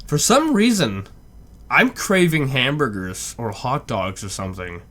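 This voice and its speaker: A man's voice: mid-range voice, very animated, unhurried, fairly bright tone. A man hosting a reaction video, chatting casually in a rambling way with lots of "like". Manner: rambling, with frequent "like".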